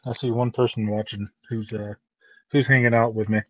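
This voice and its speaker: A man's voice: fairly low pitch, moderate pace, dry recording. A middle-aged man from northern Louisiana without a strong accent, chatting casually and telling wry stories with chuckles. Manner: relaxed, wry storyteller who chuckles. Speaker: guest on a live stream. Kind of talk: casual storytelling chat. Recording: dull lo-fi call audio.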